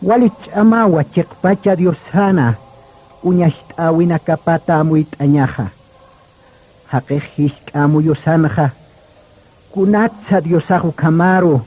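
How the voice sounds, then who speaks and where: Slow, husky man narrating solemn religious drama. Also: a little high, dark tone, low-quality recording. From Bolivia.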